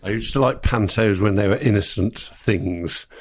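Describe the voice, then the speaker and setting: A man's voice: fairly low pitch, moderate pace. An older man, a guest and father, talking in a blunt, plain-spoken way. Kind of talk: casual chat.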